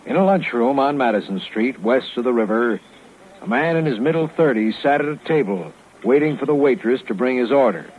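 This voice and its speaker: Casting: man as composed retired lieutenant, mid-pitched, formal case narration, phone-like vintage radio sound. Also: lively intonation, a little hiss or hum, close to an everyday voice. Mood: composed, authoritative. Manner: formal, measured, matter-of-fact. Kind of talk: scripted 1950s radio narration. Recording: thin, narrowband 1950s radio recording.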